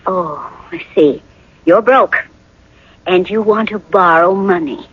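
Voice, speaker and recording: A woman's voice: on the low side, takes it slowly, husky. An actress playing a well-off politician's wife in her thirties, proud and guarded, in a radio drama. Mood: indignant, guarded. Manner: polite, hesitant, turning indignant. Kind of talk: 1980s radio drama acting. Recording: dull lo-fi old radio broadcast.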